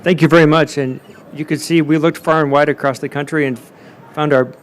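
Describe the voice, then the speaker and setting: A man with a slightly higher voice moderates a conference panel. He speaks emphatically, restating words as he builds toward each point. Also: fairly even intonation, a little fast, a little hiss or hum.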